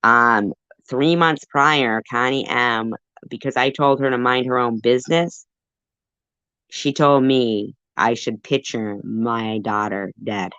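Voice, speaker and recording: Low-voiced woman ranting defensively. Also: fairly bright tone, clear, resonant tone, dry recording. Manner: defensive and rambling, with many fillers. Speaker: commentary channel host. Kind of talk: livestream commentary. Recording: dull dry lo-fi audio.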